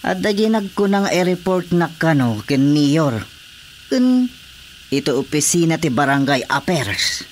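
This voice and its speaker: A man's voice: a little high, very animated, a little slow, slight background noise. Matter-of-fact male village captain, Ilocano accent. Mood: businesslike. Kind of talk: Ilocano radio drama comedy acting.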